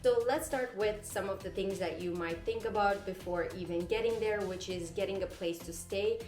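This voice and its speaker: A woman's voice: medium pitch, normal speed, darkish tone. Woman, from Syria, travel vlogger, casual tips to camera, chatty with fillers, roomy echo, faint music behind. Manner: chatty, with fillers and self-corrections. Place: indoors in front of a green screen.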